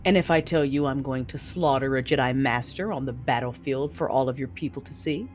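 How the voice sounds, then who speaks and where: A woman's voice: rumbling low, a little fast, darkish tone. A woman playing a brooding, menacing Sith lord, narrating her own story in an audio drama. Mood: taunting. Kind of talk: first-person audio drama narration and dialogue. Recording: dramatic music underneath.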